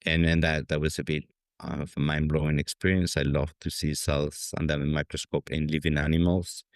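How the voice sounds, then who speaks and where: A man's voice: extremely low pitch, little pitch movement, normal speed, clean tone, no echo, clean studio sound. A man in his fifties from Spain with a Spanish accent, answering interview questions in a hesitant way with many fillers and restarts. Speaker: guest scientist. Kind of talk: conversational interview.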